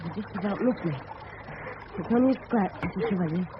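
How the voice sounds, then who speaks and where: A muffled, very noisy old radio recording carries a high, youthful English voice. A male actor plays an earnest, plucky schoolboy in a period mystery drama. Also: a little fast, lightly acted. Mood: earnest, pleading.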